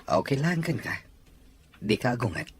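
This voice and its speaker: A man's voice: mid-range voice, sing-song, normal speed, slightly rough. A man playing a hardworking, exasperated husband and father in an audio drama, sounding weary and defensive. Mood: calmer. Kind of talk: amateur audio drama dialogue.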